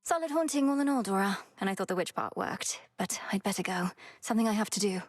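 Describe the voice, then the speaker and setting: A woman's voice: mid-range voice, expressive, fast talker, a little hiss or hum, lightly acted. An actress playing a determined young witch heroine in a video game, earnest, courteous and slightly formal. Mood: dry, polite.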